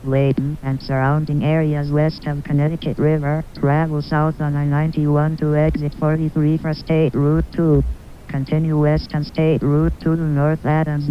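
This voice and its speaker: A male alert announcer reads instructions with very little pitch movement. He is impersonal and methodical, and the sound is dull and lo-fi with a faint rumble. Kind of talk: scripted list of instructions.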